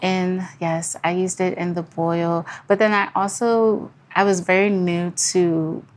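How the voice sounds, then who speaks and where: Candid woman guest with lowish voice. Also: steady tone, slow, slight background noise. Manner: informal, candid. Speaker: podcast guest, brewery founder. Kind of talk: casual interview chat.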